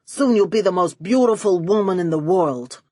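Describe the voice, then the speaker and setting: A woman's voice: on the low side, animated, normal speed, darkish tone, no echo, studio quality. A woman voicing a vain, cigar-chomping male gangster boss in an audiobook, with brash, clipped tough-guy slang. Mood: brash, bossy.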